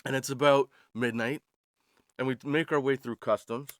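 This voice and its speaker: Male podcast host, 40s, medium pitch, wry and slangy comedic storyteller, very little room sound. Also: fairly even intonation, moderate pace, a bit bright, dry recording. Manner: wry, slangy, self-deprecating, chuckles between punchlines. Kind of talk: comedic personal storytelling monologue.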